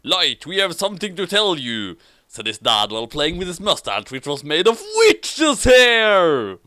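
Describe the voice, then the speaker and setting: A host reads fan fiction aloud for comedy in a slow, theatrical way. The pitch swings widely, and phrases get stumbled over and repeated between bits of casual banter. The sound is close and dry. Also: very animated, bright, forceful tone, no room sound. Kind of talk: comic dramatic reading with casual banter.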